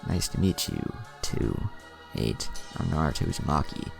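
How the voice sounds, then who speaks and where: A man reads as a cocky teenage ninja boy, very low and gravelly. The delivery is casual and self-assured, in a storybook style over music. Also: big pitch swings, moderate pace, full character voice.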